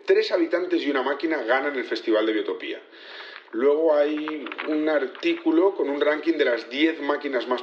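A security chief played by a male actor gives careful, earnest testimony in a naturalistic audio drama. He is polite and a little hesitant as he explains things, with a middling pitch in an echoey, slightly muffled room. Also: slight background noise, close to an everyday voice. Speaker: witness in a trial.

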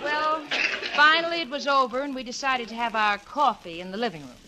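Actress as wry ladylike roommate, 40s radio comedy, highish voice, noisy old recording w audience. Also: fairly quick, a loud crowd, natural voice. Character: wry, proper working woman, long-suffering roommate and narrator. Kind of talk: 1940s radio sitcom acting and narration.

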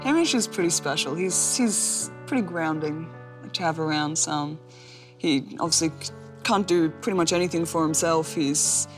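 A woman's voice: deep voice, normal speed, over music. A woman, answering interview questions in a chatty, self-deprecating way with lots of fillers. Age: 20s. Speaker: interview guest, athlete.

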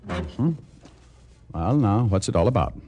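An actor playing a carefree, flirtatious private detective in a 1950s radio drama, talking in casual, wry asides. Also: male voice, on the low side, animated, a little slow, dark tone. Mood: relaxed, attentive. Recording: noisy old 1950s radio recording with clicks.

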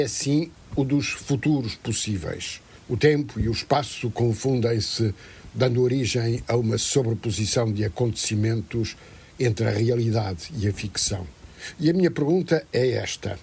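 A man reading a weekly culture commentary in a deliberate, formal way. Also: medium pitch, darkish tone, breathy edge. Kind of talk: read commentary.

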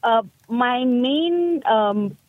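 A woman, calling in over a tinny, phone-thin line. She speaks in a slightly high voice, halting and thoughtful, often pausing to find the right word. The sound is dry and close. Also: animated, no echo. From Malaysia. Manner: halting, thoughtful, searches for words. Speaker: caller. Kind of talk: call-in radio chat.

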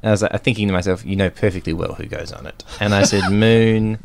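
A man's voice: lowish voice, fast talker, creaky. A man hosting a comedy podcast, with an upbeat, nasal voice, telling stories animatedly. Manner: animated storytelling, mimics others. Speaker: podcast host.

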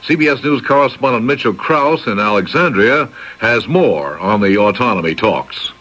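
A man's voice: lowish voice, animated, moderate pace, noisy background. An American man anchoring a radio newscast, reading scripted news.

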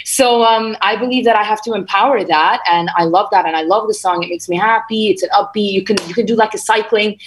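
A woman's voice: mid-range voice, fast, few pauses, poor audio. Effusive woman chatting in a radio interview. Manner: effusive, slangy, animated storytelling. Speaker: singer-songwriter being interviewed. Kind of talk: casual chat, radio interview. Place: remote video call.

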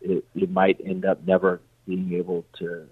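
A man's voice: sits low, monotone, moderate pace, breathy edge, dry recording. A man, an energy economist, explaining a point plainly in an interview clip. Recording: dry phone-line audio.

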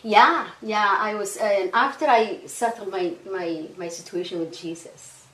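A woman's voice: medium pitch, fairly bright tone, recorded from a distance. A woman with a Somali accent speaks earnestly, stopping and restarting often. She sits some way back from the mic in a roomy space, so her voice echoes a little. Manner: earnest, halting, many restarts and "you know". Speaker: guest. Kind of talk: casual testimony interview.

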